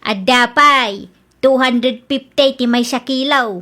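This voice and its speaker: A woman's voice: high-pitched, takes it slowly, sharp tone, exaggerated voice. A woman voicing an older mother who runs a rice store, in a radio drama. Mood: worried. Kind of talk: Ilocano radio drama acting.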